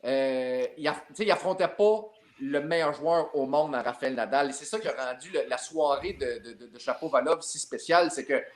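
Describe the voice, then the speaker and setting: A man with a Québécois French accent, a sports journalist guest telling a lively story in a podcast chat. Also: on the high side, a little fast, few pauses. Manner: lively, builds up his story with repeated tags.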